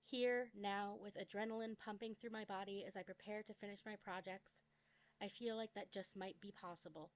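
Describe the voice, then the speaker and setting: A young adult woman reads her own memoir aloud as a scripted dramatic narration, with a deliberate, expressive delivery. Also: medium pitch. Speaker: memoir author reading own work.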